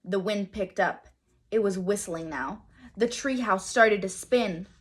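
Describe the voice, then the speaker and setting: A woman teacher reading a children's storybook aloud in a dramatic, deliberate way, pausing for emphasis. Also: mid-range voice, husky.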